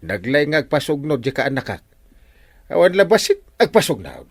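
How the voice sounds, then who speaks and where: An actor with an Ilocano accent playing a bumbling, boastful, cranky village captain in a radio comedy, blustering through many interjections. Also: male voice, on the high side, wildly swooping pitch, fairly quick, heavily acted. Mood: blustering, indignant. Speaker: comic radio drama actor.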